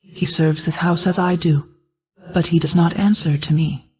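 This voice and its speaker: A woman voicing a regal, ancient night goddess and guarded mother in a video game, speaking in a formal, measured way. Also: lowish voice, fairly even intonation, close to an everyday voice, poor audio. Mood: measured, reserved. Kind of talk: scripted game character dialogue.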